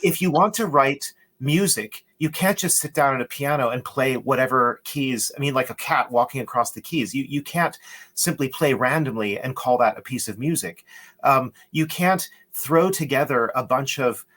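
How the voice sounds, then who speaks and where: A man's voice: medium pitch, moderate pace, fairly bright tone. A man as an interview guest, explaining in an emphatic, lecturing way with rhetorical checks. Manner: emphatic, lecturing, asks "right?". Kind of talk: conversational interview.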